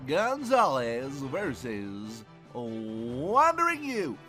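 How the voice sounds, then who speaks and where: A man playing an excitable male tournament announcer who delivers showy patter with dramatic swoops, in a fan radio drama. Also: medium pitch, very slow, exaggerated voice. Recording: music underneath.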